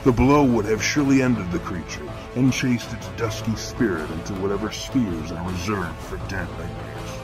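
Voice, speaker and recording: An actor playing a grim, eloquent killer who narrates horror fiction in a measured, florid, theatrical manner. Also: male voice, lowish voice, natural voice. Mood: grim relish. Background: music.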